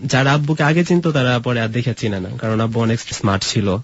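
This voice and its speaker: A man's voice: medium pitch, lively intonation, quick pace, dull audio, slight background noise. A man from Mymensingh in Bangladesh, an interview guest telling personal stories in a conversational way. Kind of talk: personal storytelling in casual conversation.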